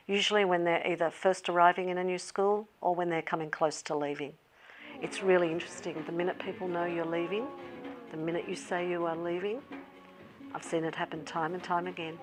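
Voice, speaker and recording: A woman's voice: lowish voice, steady tone, brisk, soft background music. A woman educator in a documentary interview, speaking candidly from her own experience.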